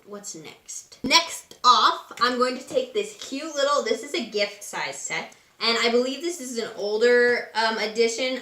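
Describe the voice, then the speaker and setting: A woman with a raspy voice today, casually talking through a makeup tutorial at home. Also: on the high side, roomy sound. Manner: chatty, relaxed and instructive, with frequent fillers. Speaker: beauty vlogger. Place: room at home.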